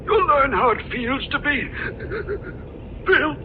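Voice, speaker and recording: On a muffled, noisy old radio recording, a dying old uncle full of spite. His high voice threatens and swoops wildly, in the grand manner of forties radio horror. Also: expressive, slow, heavily acted. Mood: vengeful menace. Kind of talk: 1940s radio horror acting.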